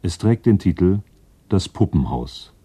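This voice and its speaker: Male radio announcer, really dark husky voice, slow scripted intro. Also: low-pitched, very animated.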